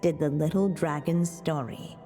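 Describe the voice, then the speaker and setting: A solemn, motherly storybook teller speaks very slowly in a lowish, mellow voice. The delivery is measured and tender, video game narration with faint music underneath. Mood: gentle, storytelling.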